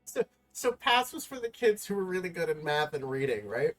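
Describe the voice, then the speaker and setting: A man's voice: on the high side, a little fast. A young man chatting casually and jokingly while gaming, swearing freely. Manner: animated, jokey, swears freely. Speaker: gaming streamer.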